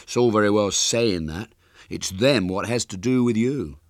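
A man's voice: fairly low pitch, normal speed, no room sound, natural voice. Male narrator as storybook schoolboy, gloomy, resigned, dry recording. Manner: gloomy, repetitive.

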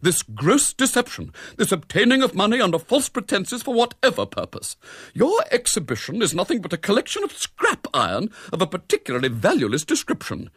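A severe vicar's wife from a storybook , played by a male narrator. She declaims in a high, scolding voice with dramatic rises and falls. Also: wildly swooping pitch, brisk, fairly bright tone, husky, dry recording, studio quality, heavily acted. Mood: stern denunciation.

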